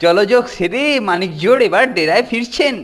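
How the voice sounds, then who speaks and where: A man's voice: high-pitched, expressive, a little fast, music in the background. A man playing a sly, greedy older schoolboy bully in a cartoon, with a taunting, mock-pious manner. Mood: fawning, smug. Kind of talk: Bengali cartoon dialogue.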